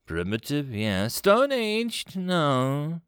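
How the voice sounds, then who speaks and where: An imperious alien fleet commander from a sci-fi audio story, acted out by a man. He is pompous and snarling, quick to lose patience, and his pitch leaps high above normal talk in big swings. The sound is close and dry. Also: sing-song, clean tone, no echo. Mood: snapping, exasperated. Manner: pompous, snarling, easily exasperated.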